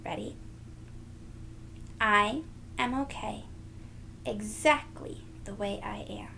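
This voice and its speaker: A woman reading a storybook aloud to young children, expressive and gentle, speaking directly to them. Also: mid-range voice, takes it slowly, gravelly, a little hiss or hum. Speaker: children's read-aloud host.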